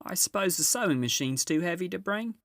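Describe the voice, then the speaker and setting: Man putting on a high, fussy motherly lady beaver. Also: animated, a bit bright, dry recording, clean studio sound. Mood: fussing. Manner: folksy, coolly unhurried. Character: bustling, unflappable, fussy motherly old female beaver.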